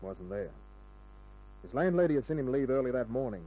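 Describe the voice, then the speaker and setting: Sardonic radio private eye, muffled recording. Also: male voice, medium pitch, sing-song, fairly quick. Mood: terse storytelling. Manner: dry, sardonic, world-weary. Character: wisecracking hard-boiled male private detective. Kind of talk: 1940s-50s radio crime drama narration and dialogue. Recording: dull old radio recording with music.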